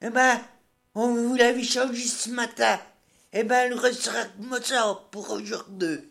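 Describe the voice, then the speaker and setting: An older woman from rural Burgundy tells homespun village stories in dialect. Her voice sits high, and her telling is halting and plain-spoken. She is recorded at a radio studio microphone. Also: steady tone, creaky, fairly bright tone. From rural Burgundy, France. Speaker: radio columnist, storyteller. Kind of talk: folksy anecdote storytelling in dialect.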